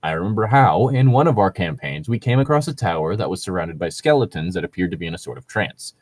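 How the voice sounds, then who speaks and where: Low-voiced man, casual and rambling. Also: lively intonation, quick pace, no room sound. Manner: rambling, hedging, casually profane. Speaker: co-host reacting to read-out stories. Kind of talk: casual chat and commentary on a read story.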